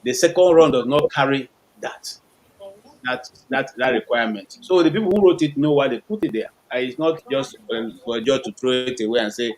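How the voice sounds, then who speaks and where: Halting Nigerian man arguing a point. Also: mid-range voice, poor audio. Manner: halting, repeats words while building an argument. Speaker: panel guest. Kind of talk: heated live panel discussion.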